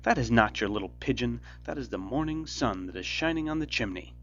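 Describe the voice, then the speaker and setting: A man voicing a harsh, scolding mother in a fairy tale, with a sharp, commanding delivery. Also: mid-range voice, expressive, fairly quick, muffled recording, a little hiss or hum. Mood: impatient. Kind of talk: fairy tale storybook reading.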